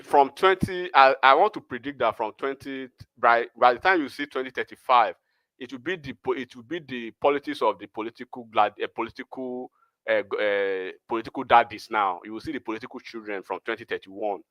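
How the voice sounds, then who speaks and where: A Nigerian man with a Yoruba accent hosts a loose political chat, circling back and restarting as he talks. His voice sits at an ordinary pitch. The recording is dry and close. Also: a little fast, fairly bright tone, no room sound, rough recording. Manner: rambling, many restarts and fillers.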